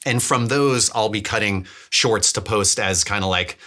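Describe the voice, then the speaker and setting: Bright-voiced man rambling through a casual vlog. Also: fairly low pitch, moderate pace. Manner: rambling, thinking aloud with repeated words. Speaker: DIY filmmaker and vlog host. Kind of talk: casual devlog talk to camera.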